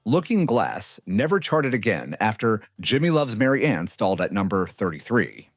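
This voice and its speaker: A man's voice: on the low side, sing-song, moderate pace. A man reading a scripted music countdown as its narrator.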